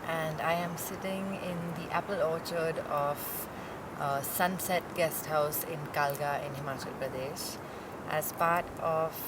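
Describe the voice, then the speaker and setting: A woman music producer introducing herself in a calm, measured way, sitting in an orchard. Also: sits low. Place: apple orchard outdoors. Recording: distant mic, loud outdoor noise.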